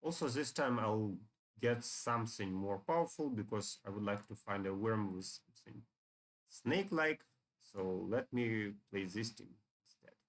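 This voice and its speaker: A man's voice: lowish voice, animated, unhurried. A man narrating a video game walkthrough in an informal way. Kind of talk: game walkthrough, reading story dialogue aloud.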